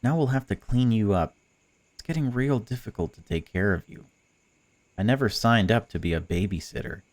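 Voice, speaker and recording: A man's voice: lowish voice, moderate pace, a little mellow, close to an everyday voice. A man voicing a female stalker in a visual novel, a chatty, patronising captor who pretends to care. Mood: breezy, patronising. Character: cold, mocking female stalker, falsely caring captor. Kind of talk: visual novel dialogue read aloud.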